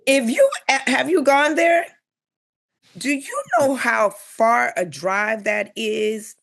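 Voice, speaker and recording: A woman's voice: on the high side, expressive, slow, vocal fry, fairly bright tone. A middle-aged woman speaking bluntly and emphatically in a casual panel discussion as a guest.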